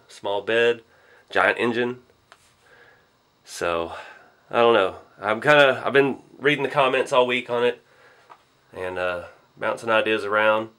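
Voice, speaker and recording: A man, a little hoarse from a cold, rambling casually through a model build in his garage workshop with lots of ums. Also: medium pitch, a bit bright. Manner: laid-back, rambling, lots of ums and I don't knows. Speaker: RC hobby channel host.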